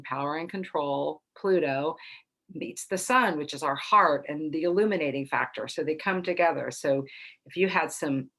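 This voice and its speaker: A middle-aged woman hosting a casual astrology talk to camera, a little congested after being ill, chatty and relaxed with soft laughs. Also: low voice. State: slightly congested after illness. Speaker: psychic astrology host.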